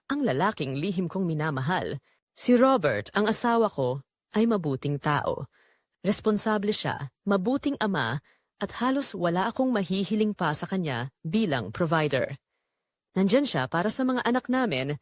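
Woman playing a guilt-ridden wife, lively pitch, confessional. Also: no room sound, clean studio sound. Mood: confessional, wistful. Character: guilt-ridden married mother confessing a secret affair. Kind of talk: dramatic first-person scripted narration.

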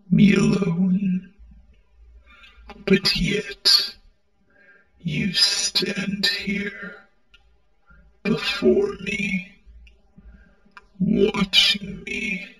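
A man performs a mournful, self-loathing robot prince from a war-torn land in an amateur audio drama. The voice is high and bright, and it moves extremely slowly in halting, melodramatic phrases. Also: sing-song, reverberant, faint music behind, full character voice, poor audio. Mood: mournful, regretful. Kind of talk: dramatic amateur character monologue.

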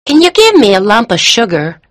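A little American boy from a storybook, cheeky and blunt. The voice sits high and swings about in lively, childlike declarations. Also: normal speed, exaggerated voice. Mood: matter-of-fact.

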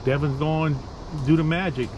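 A man's voice: fairly high pitch, fairly even intonation, normal speed. Teasing Louisiana man on a boat. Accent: South Louisiana. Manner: teasing, playful banter. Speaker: adult on a family fishing trip. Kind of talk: casual outdoor chat. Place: outdoors on a small boat. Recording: noisy outdoor recording.

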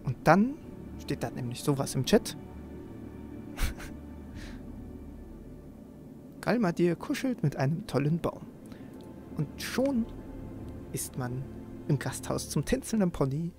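A man with a creaky voice hosting a let's play, commenting on the game and reading quest text aloud. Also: on the high side, animated, takes it slowly, long pauses. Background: game music.

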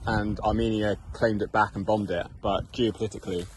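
A young man chatting on a road trip, calmly explaining the plan and chiming in with his travel partner. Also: fairly low pitch, brisk, a little hiss or hum, rough recording. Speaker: travel companion. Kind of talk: casual travel chat. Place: outdoors and in cars. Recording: music playing underneath.

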